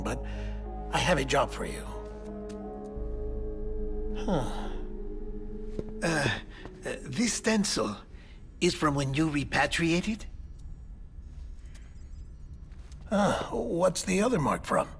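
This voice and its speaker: A man playing an earnest, apologetic middle-aged government doctor in a cinematic video game, speaking in a hesitant, polite, deliberate way. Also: on the high side, very animated, gravelly, over music, full character voice.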